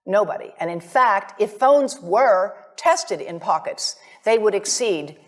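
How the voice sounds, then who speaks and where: An American woman giving an academic presentation as a visiting scientist, speaking in a deliberate, emphatic way straight to her listeners. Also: mid-range voice, lively intonation. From United States.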